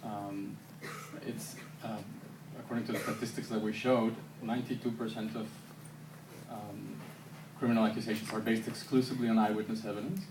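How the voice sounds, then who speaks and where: A man's voice: fairly low pitch, little pitch movement, a little fast. A man answering audience questions at a university screening, thoughtful, with many ums and restarts. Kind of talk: public Q&A answers. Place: university auditorium. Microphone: handheld camera from the audience. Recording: echoey hall, far handheld camera, noisy.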